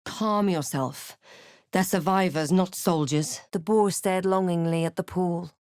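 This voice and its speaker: A woman playing a snarky young female adventurer in a video game, with a measured, dry and dramatic scripted delivery. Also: lowish voice, darkish tone, clear, resonant tone, dry recording, natural voice. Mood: dry, resolute. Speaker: video game player-character voice actor. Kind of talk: scripted game voice lines, narration and spell incantations.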